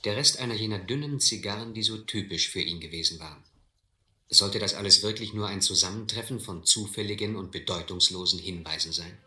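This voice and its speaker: Wary male sea captain, lowish pitch, very crisp forceful tone, measured, dry, radio adventure drama. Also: echoey room. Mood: reflective narrating. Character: shrewd, suspicious male sea captain in the South Seas. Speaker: actor playing captain and first-person narrator.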